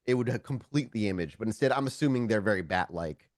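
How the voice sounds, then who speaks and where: Low-voiced man narrating a tabletop game. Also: animated, fast talker, no room sound, studio quality. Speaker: game master (storyteller).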